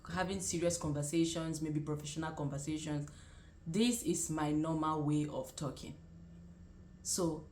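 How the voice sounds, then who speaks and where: A young woman with a Nigerian accent speaks in a low-pitched voice. She talks in a casual, conversational vlog style, offering advice and rephrasing her points as she goes. The sound is echoey, as if recorded in a bare room. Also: darkish tone, a little hiss or hum. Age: young adult. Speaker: vlogger and nursing student. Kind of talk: casual direct-to-camera advice chat. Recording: roomy, echoing sound.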